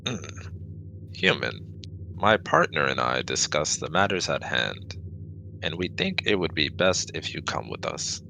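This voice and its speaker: A man's voice: very deep voice, sing-song, a little slow, gravelly, sharp tone, slight background noise, full character voice, rough recording. A man voicing a formal, cautious but kind male alien with precise, stiff wording in a sci-fi ASMR roleplay. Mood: contrite.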